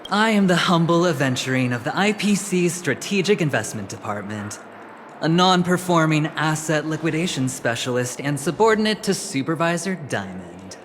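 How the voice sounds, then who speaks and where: A man's voice: a little high, very animated, moderate pace, fairly bright tone, slight background noise. An actor playing a smug, flamboyant young businessman in a video game, with a suave, teasing, theatrical delivery. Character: smug, flamboyant young male corporate gambler. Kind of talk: scripted video game dialogue. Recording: music behind the voice.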